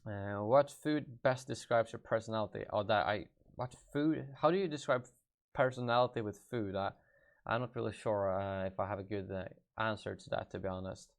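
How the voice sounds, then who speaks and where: A man's voice: fairly low pitch, moderate pace, vocal fry. A young man with a Swedish accent, reading viewer questions aloud on a live stream and answering them off the cuff, thinking aloud as he goes. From Sweden. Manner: thinks aloud, hesitant and offhand. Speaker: gaming YouTuber.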